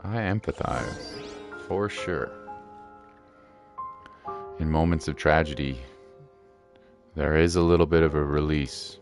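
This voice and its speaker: A man's voice: extremely low pitch, slow, gravelly, long pauses. A man giving casual, chatty livestream commentary over a game in a playful way. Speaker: game streamer. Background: faint game music.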